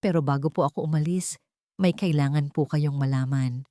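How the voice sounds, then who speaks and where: A woman's voice: low voice, normal speed, mellow, no echo, studio quality, natural voice. A woman voicing a brave young housemaid in an audio story, respectful and pleading, with a trembling but determined voice.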